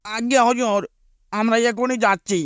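A man's voice: extremely high pitch, steady tone, moderate pace, fairly bright tone, clear, resonant tone, dry recording, studio quality. A man playing a cowardly royal executioner with comic, exaggerated delivery in a fairy-tale cartoon. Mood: eager, obedient.

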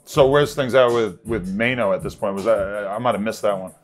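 A man's voice: fairly low pitch, fairly even intonation, brisk, soft background music. An American man in his thirties hosting an interview, asking casual, rambling questions in informal language. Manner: informal, rambling, profane phrasing. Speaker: podcast host. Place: seated at table before branded backdrop, indoors.

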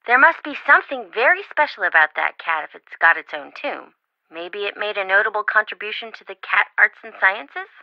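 An excitable young woman chatters over the phone in a game, her pitch leaping up and down as she jokes and frets. The audio is dry and a little dull. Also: brisk, vocal fry, dry recording. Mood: amused. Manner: excitable, jokey, worried. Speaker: phone friend of the detective. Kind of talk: video game voice acting.

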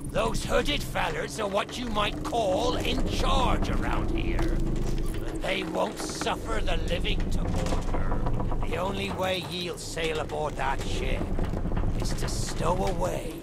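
An actor playing an old, wily undead pirate, a talking skull, in a video game, speaking in old pirate talk. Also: male voice, sits high, expressive, heavy background noise, exaggerated voice. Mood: scheming. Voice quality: gravelly. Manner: old pirate speech, drawn-out and scheming. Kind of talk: video game character dialogue. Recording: game audio with loud music behind.

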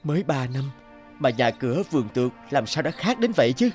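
An actor playing an earnest, polite and hesitant young scholar in an animated folktale, homesick for his village. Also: medium pitch, brisk, soft background music, close to an everyday voice. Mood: bewildered.

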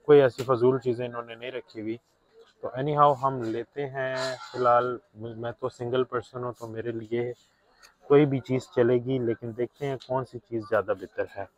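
A man's voice: mid-range voice, low-quality recording. A young man, chatting casually to viewers as he films himself shopping in a big indoor discount store on a handheld phone. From Pakistan. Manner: chatty, mixes in English words, talks to viewers as brothers. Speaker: vlogger. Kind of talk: casual shopping vlog narration. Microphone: phone held by the filming hand.

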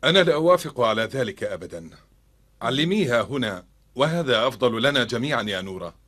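A stern, protective gentleman father from a cartoon. He talks quickly and emphatically, with a husky edge and formal phrasing. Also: medium pitch, animated. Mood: stern, disapproving. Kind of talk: Arabic-dubbed cartoon acting.

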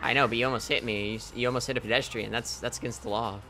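A man's voice: mid-range voice, fairly even intonation, rapid-fire, pushed and bright, soft background music. A young man in casual gaming banter, playfully taunting the other players. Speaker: video game player.

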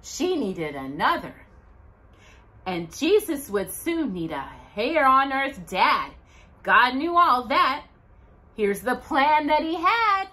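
A middle-aged woman hosting a children's storytime, reading aloud in an expressive, sing-song way to young listeners. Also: fairly high pitch. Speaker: storytime host. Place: indoors at home by a brick fireplace.

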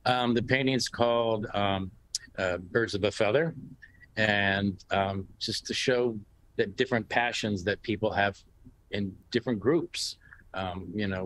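American man in his sixties, lowish voice. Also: a bit bright, low-quality recording. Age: 60s. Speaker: interview guest, painter. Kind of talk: casual conversational interview answers. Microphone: video call, sitting back from the device.

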